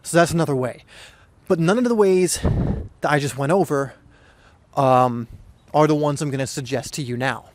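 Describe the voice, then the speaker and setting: A young man hosting a soccer podcast, talking casually and earnestly with a hoarse, slightly weak voice and frequent restarts. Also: fairly high pitch, normal speed, dry recording. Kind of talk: casual solo podcast talk.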